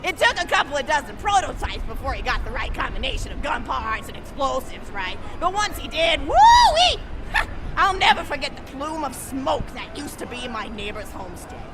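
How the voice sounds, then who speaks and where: Game role: chatty old granny, doting and eccentric, with a high, swooping voice over game music and a crowd. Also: female voice, huge pitch swings, moderate pace, low-quality recording. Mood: proud, gleeful. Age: older. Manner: chatty, doting, rambling storyteller. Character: cheery rambling old grandma, proud and eccentric. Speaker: game character. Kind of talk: video game voice acting.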